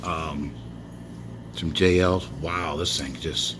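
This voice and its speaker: Man, very low voice, slow and easygoing, rambling with chuckles, faint room hum, handheld phone vlog. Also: fairly even intonation. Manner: rambling, chuckles often, many fillers. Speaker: toy car collector vlogger. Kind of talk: casual show-and-tell vlog. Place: indoors, filming toys laid on a bedsheet. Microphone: handheld phone camera.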